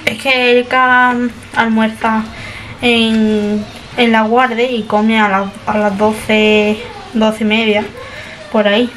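Young woman rambling to the cam in her kitchen, bit high voice, faint hiss behind. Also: level tone, takes it slowly, a bit bright, rough recording. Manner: chatty, rambling, narrating her chores. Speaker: family vlogger. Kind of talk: casual vlog chat to camera. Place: small home kitchen indoors.

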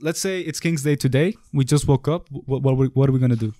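A man's voice: mid-range voice, quick pace, dark tone. A young man from Italy hosting a casual podcast chat, laid-back, with loose fillers and frequent laughs. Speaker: podcast host.

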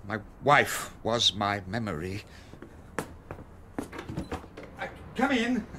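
Slow, haunted widower. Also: male voice, lowish voice, huge pitch swings, slight background noise, exaggerated voice. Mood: confused. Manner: halting, stammering, distracted. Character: grieving, forgetful, haunted male widower and writer. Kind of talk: radio drama acting. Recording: loud music behind the voice.

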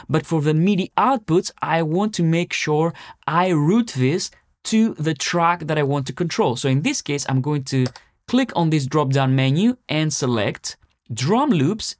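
A man's voice: a little high, dry recording. Man presenting a tutorial, very dry studio sound. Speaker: music production tutorial presenter. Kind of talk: instructional tutorial, explaining step by step. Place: home music studio, indoors.

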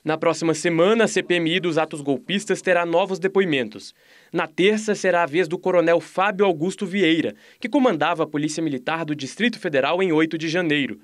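A man with a Brazilian Portuguese accent, delivering a field news report in a measured, formal manner, recorded outdoors at night on a handheld microphone. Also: fairly high pitch, no echo.

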